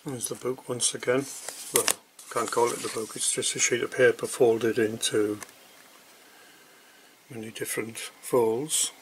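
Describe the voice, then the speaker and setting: Slow-paced man in a noisy recording. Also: medium pitch, fairly even intonation. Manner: hesitant, piecemeal delivery with long pauses. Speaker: hobbyist product reviewer. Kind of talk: casual unboxing commentary.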